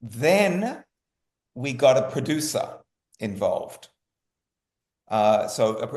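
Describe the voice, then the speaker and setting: A male guest talks in an interview with a medium-pitched voice. He circles back over his phrases, restating them emphatically. The sound is roomy and echoey. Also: darkish tone. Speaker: guest, writer. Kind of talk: interview conversation.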